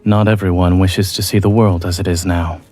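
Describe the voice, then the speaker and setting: A man plays a reserved doctor in an audio drama, with a low, deep voice. He is deadpan and dry-witted, speaking in a measured, understated way over background music. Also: lightly acted. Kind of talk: amateur audio drama acting.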